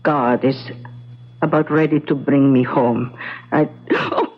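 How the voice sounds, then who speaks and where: A woman's voice: rumbling low, steady tone, slow pace, slightly rough, heavily acted. An actress playing a frail older mother who pleads in a weak, faltering voice in a 1970s radio drama. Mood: pained, urgent. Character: frail, dying, devoted mother pleading with her son. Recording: dull, lo-fi old radio broadcast.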